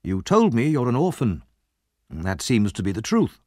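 A man voicing a kindly, trusting older gentleman in a storybook, earnest and measured. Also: medium pitch, wildly swooping pitch, no echo, studio quality. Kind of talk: audiobook character reading.

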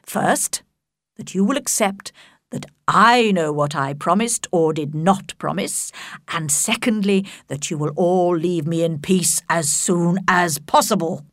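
A woman voicing a calm, wry older father who speaks in a slow, measured, deadpan way. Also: lowish voice, dry recording, studio quality, close to an everyday voice. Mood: dry. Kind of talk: audiobook character voice.

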